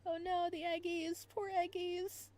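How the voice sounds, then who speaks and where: A woman's voice: extremely high pitch, flat delivery, measured pace, a little mellow. A woman live streamer chatting casually as she reads a visual novel, with giggly, playful asides. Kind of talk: casual livestream chat while reading a visual novel aloud.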